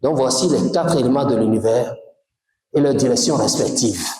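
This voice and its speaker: A man from Togo teaching a spiritual class in an animated, emphatic way, often putting questions to his listeners. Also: mid-range voice, moderate pace. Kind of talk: lecture to a class. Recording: very echoey room.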